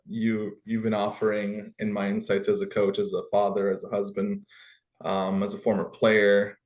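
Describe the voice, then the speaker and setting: A man from Guatemala, a football coach, talking in an interview in a humble, conversational way with many pauses and restarts. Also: lowish voice. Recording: roomy echo.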